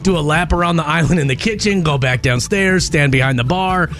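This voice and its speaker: A man co-hosting a morning radio show, explaining and bantering in an animated, conversational, punchy way from a studio. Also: fairly high pitch, fairly quick, faint music behind.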